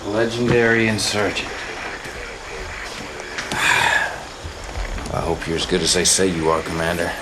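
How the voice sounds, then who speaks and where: Guy playing cocky rebel officer in a game briefing, low voice, wry n streetwise, clear background rumble. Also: fast talker, natural voice. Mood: cocky, sizing up. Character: cocky male insurgent officer briefing a commander, brotherly. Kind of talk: casual game mission briefing.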